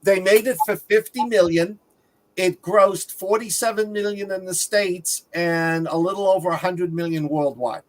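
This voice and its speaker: A man's voice: sits high, fairly even intonation, normal speed, poor audio. A man chatting casually and explaining things as a panelist in a film discussion. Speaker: podcast panelist discussing films.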